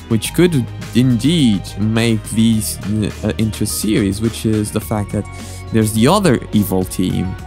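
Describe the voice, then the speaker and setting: A man with a fairly low voice hosts a casual reaction vlog. He talks in a hesitant, rambling way, circling back to correct himself, with music playing faintly behind him. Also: darkish tone. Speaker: host of a reaction channel. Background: background music.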